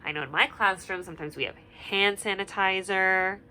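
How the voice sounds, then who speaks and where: Bright-voiced teacher reading to kids. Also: female voice, mid-range voice, moderate pace, low-quality recording. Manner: gentle questioning, asks children many questions; drops to a whisper at quiet parts. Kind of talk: reading a picture book aloud to children.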